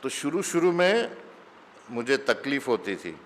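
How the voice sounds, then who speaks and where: Spiritual teacher, man, middle-aged, unhurried storytelling sermon, mid-pitched, long hall echo. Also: very animated, slight background noise. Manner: slow, mixes English phrases into Hindi. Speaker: spiritual teacher giving satsang. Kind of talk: conversational sermon with anecdotes.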